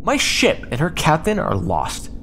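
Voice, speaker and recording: A man's voice: a little high, huge pitch swings, vocal fry, fairly bright tone, soft background music, heavily acted. A man playing a sarcastic, exasperated starship crewman. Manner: sarcastic, exasperated, quick-tempered. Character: sarcastic, prickly male starship crewman, young adult. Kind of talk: ASMR roleplay acting.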